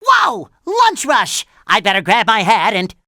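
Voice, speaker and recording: A man voicing an excitable, naive, cheerful young cartoon sea sponge in a video game, with a squeaky nasal voice and eager, childlike earnestness. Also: a little slow, gravelly, no echo, clean studio sound, exaggerated voice. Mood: amazed. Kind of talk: animated video game voice lines.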